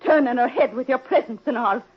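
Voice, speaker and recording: A bitter, grieving mother in a 1940s radio play. Her voice is high and husky, full of emotional accusation. The recording is dull and dated. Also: a little fast. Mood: bitter, accusing. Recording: muffled old-time radio recording.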